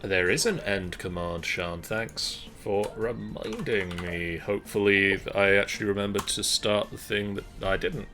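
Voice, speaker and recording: Guy hosting a stream, middle aged, lowish bright voice, chatty but keeps pausing. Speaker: streamer and host. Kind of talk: casual livestream announcements. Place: small room at home. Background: faint music.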